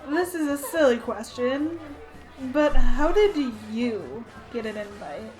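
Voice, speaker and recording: A woman's voice: high voice, sing-song, slow pace, darkish tone, exaggerated voice, poor audio. A woman playing a polite, wry news reporter in a radio drama. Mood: wry, teasing.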